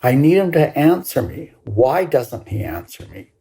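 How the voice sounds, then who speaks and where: A woman's voice: very deep voice, sing-song, a little slow, gravelly, dark tone, exaggerated voice. An older woman voicing a grieving young grandson in a story, pleading insistently with his grandmother. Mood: anguished, insistent.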